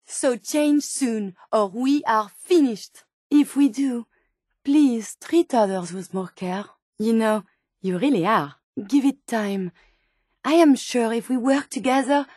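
An actress voicing a gentle, earnest female robot scientist turned human in a video game, with a French accent and formal, precise phrasing. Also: a little high, slow pace, dry recording, studio quality. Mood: tender, grateful. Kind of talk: video game character dialogue.

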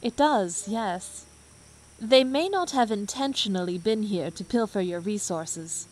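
A woman's voice: mid-range voice, expressive, measured pace, a little mellow, rough recording. A woman playing a shrewd, level-headed young seafarer in a fantasy audio drama, matter-of-fact in delivery. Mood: calm, shrewd.

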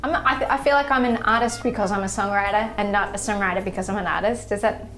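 A woman's voice: medium pitch, fairly even intonation, fast talker, fairly bright tone. Chatty young woman in a roomy bar. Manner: chatty, laughs easily, self-deprecating. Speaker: musician guest. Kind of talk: casual chat. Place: small red-walled bar, indoors. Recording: roomy bar sound with faint music.

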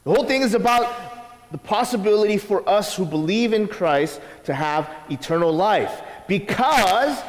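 A man in his thirties preaching a sermon from the stage of a church sanctuary, teaching with lively asides. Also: high voice, a little slow. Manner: animated teaching with acted quotes and asides. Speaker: pastor. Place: church sanctuary, large indoor room. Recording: long reverb of a church hall.

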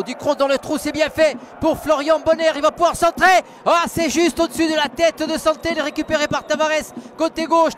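A man commentating live on football for radio, excitable and partisan, repeating his phrases. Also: very high voice. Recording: loud stadium crowd and noise. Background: stadium crowd.

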